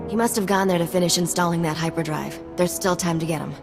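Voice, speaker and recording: An actress playing a shrewd, composed underworld fixer in a video game, wry and businesslike. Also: female voice, fairly low pitch, steady tone, fast, music in the background, close to an everyday voice. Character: cool, shrewd female underworld fixer briefing her captain. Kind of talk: video game cutscene acting.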